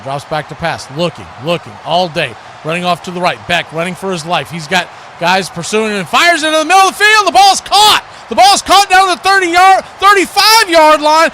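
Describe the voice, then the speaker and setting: An American man giving live football play-by-play in short clipped phrases that build with excitement. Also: sits high, a little hiss or hum. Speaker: play-by-play announcer.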